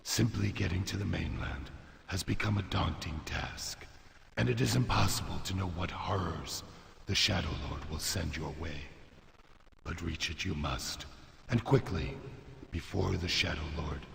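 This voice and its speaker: Grave war storyteller, slow delivery, gravelly, portentous, echoing hall, fantasy game narration. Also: male voice, low-pitched, a bit bright, heavily acted. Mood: grave, urgent. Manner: solemn, portentous, slow and measured. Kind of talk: dramatic scripted game cutscene narration. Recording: reverberant hall echo, faint noise.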